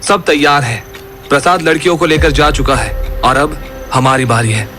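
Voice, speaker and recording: A man's voice: fairly high pitch, normal speed, bright, forceful tone, soft background music. A man playing an anxious young son among friends in an audio horror drama. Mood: urgent.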